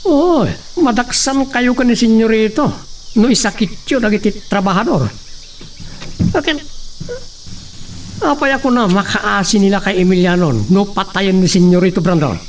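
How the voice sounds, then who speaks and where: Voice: mid-range voice, animated, normal speed, close to an everyday voice. A performer with an Ilocano accent playing a grumbling, blunt, callous male farm henchman in an audio drama. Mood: callous, arguing. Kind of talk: radio-style Ilocano drama acting. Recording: distant mic, faint noise, crickets and animals.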